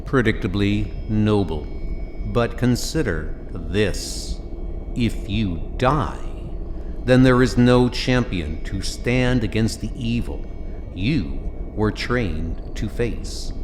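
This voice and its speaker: A man playing a smug, cold-blooded billionaire villain in a comic book audio drama, deliberate, sardonic and menacing. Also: on the low side, lively intonation, a little hiss or hum. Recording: music under the voice. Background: music.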